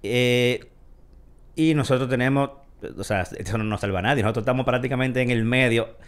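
A man hosts a live tech show in a chatty, rambling way, filling the pauses with "eh". His voice sits at an ordinary middle pitch. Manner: chatty, rambling, with many fillers. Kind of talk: casual live show talk.

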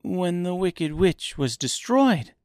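Man putting on a high, earnest little girl. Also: big pitch swings, takes it slowly, darkish tone, clear, resonant tone, dry recording, clean studio sound, exaggerated voice. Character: Dorothy, earnest, bold young girl.

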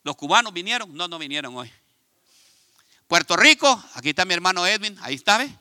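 Male pastor, Ecuadorian accent, high voice, very bright and forceful, dry close sound, lively preaching. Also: fairly quick, no room sound. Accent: Ecuadorian Spanish. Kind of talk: sermon. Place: church.

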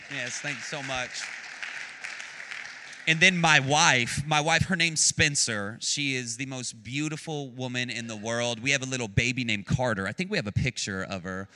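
An American man in his late twenties preaches as a guest at the pulpit, chatty and enthusiastic, joking with the congregation. His voice is very bright and sharp, with a middling pitch. The sound rings with the long reverb of a church sanctuary. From United States. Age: 20s. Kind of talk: sermon.

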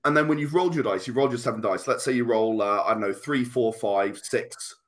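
A man's voice: medium pitch, brisk. British man explaining in a conversational way. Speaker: guest, tabletop RPG and comic creator.

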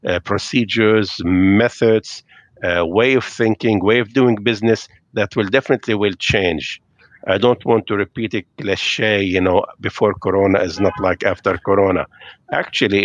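A man's voice: lowish voice, no echo. A middle-aged man from Lebanon, speaking thoughtfully with hesitations as a guest in a remote interview from home. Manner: thoughtful, hesitant with fillers, slightly non-native phrasing. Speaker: podcast guest. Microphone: video call.

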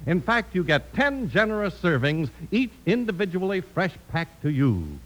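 Old-time american radio announcer guy, high lively pitch, salesy and polished, music behind him. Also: normal speed, rough recording. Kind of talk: 1950s radio announcing, scripted sponsor reads.

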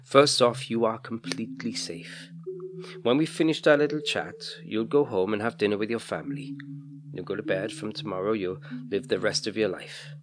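A mysterious male teacher from a storybook, voiced by a man reading aloud, kindly and unhurried in his explanations. The voice is fairly low and soothing, with clear noise in the background. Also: close to an everyday voice. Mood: patient explaining. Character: calm, mysterious male history teacher, gently patronising.

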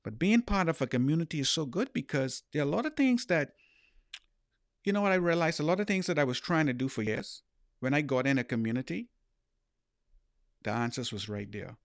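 A man's voice: fairly high pitch, lively intonation, fairly quick, vocal fry, long pauses, no echo. Bahamian guy doing a motivational coaching podcast, earnest and emphatic, repeats himself for effect, really dry sound. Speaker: life coach and podcast host.

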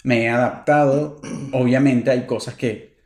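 A Venezuelan man in his thirties, co-hosting a casual chat, telling stories with animated, quick bursts when amused. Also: mid-range voice, echoey room. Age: 30s. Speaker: podcast co-host.

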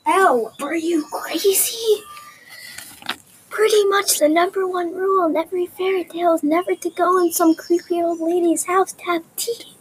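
A woman plays a cautious young schoolboy in an amateur audio drama. The voice is high and anxious, with a hesitant way of getting words out. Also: fairly even intonation, moderate pace, a little hiss or hum, low-quality recording. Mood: alarmed.

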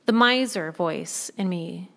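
Soothing, unhurried woman podcaster. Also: on the low side, creaky. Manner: thoughtful, pausing often with ums. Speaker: podcast host. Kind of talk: reflective spoken monologue.

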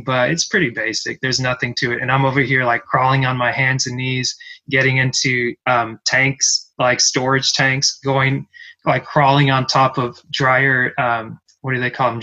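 A man's voice: medium pitch, sharp tone, muffled recording. A man from Cameroon hosting a podcast, telling long rambling stories and acting out what people said. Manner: rambling storyteller, many "like" fillers, acts out quotes. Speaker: podcast host. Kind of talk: casual conversation.

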